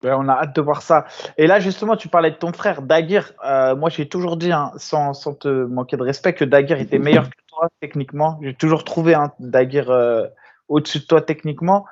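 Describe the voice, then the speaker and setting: A man's voice: on the high side, brisk, dull audio. A man hosting a casual interview, asking questions informally and rephrasing them as he goes. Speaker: podcast host, interviewer.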